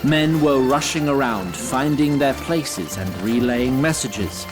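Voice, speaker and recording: A man's voice: mid-range voice, animated, slow, over music. Man performing a courtly, wry wood-sprite storyteller. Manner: formal, grandiloquent, theatrical. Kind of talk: scripted fantasy audio drama narration.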